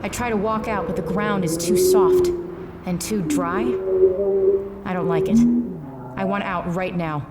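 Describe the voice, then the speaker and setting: An actress playing a hard-drinking, wry female private eye, world-weary and deadpan, in a noir audio drama. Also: fairly low pitch, unhurried, long pauses, heavy background noise, lightly acted. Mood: panicked. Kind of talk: noir first-person narration and drama.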